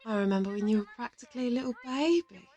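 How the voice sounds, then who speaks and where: A woman's voice: fairly high pitch, quick pace, mellow, soft background music, natural voice. A woman playing a confident, teasing interrogator from an assassin team in an audio roleplay, affectionate and boastful. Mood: complimenting. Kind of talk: audio roleplay addressed to the listener.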